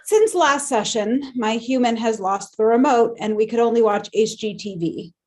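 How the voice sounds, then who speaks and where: A woman's voice: fairly high pitch, normal speed, lightly acted. A woman playing an earnest house pet, matter-of-fact, in a cartoon table read. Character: earnest pet newly obsessed with interior design.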